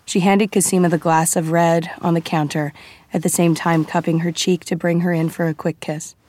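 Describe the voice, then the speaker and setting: A woman narrates scripted fiction in a low voice. She reads as an audiobook storyteller, setting scenes and describing characters. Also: little pitch movement, normal speed, a little mellow.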